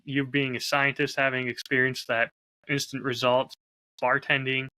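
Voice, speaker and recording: A man's voice: medium pitch, flat delivery, moderate pace, bright, forceful tone, poor audio. A man co-hosting a podcast, talking candidly and articulately in a casual, reflective, storytelling way. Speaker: podcast host and audio drama creator.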